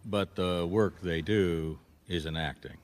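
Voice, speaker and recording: An actor playing a dry, world-weary private detective in a radio mystery, speaking in a matter-of-fact way. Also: male voice, deep voice, fairly even intonation, slow pace. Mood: dry, probing. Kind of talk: radio mystery acting with first-person narration.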